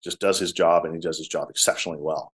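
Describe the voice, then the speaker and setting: A man with a low voice, a musician telling stories in a casual interview. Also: little pitch movement, fast. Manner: talkative storyteller, drops to soft tones. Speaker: musician guest.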